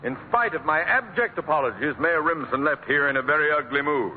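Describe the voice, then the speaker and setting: An actor playing a blustering, pompous middle-aged school principal in a 1940s radio comedy, barking out orders. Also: male voice, fairly high pitch, fairly quick, lightly acted. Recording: tinny old radio broadcast with hiss.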